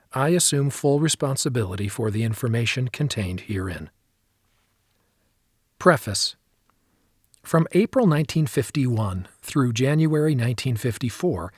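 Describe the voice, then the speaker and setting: A man's voice: medium pitch, husky, no echo, studio quality. A man narrating an audiobook, reading from a written script.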